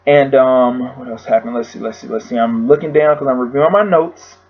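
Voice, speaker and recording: A man with a hoarse voice casually recapping a TV episode at home, rambling with lots of fillers. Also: medium pitch, brisk, highs cut off. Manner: rambling, filler-heavy storytelling. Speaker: YouTube reviewer.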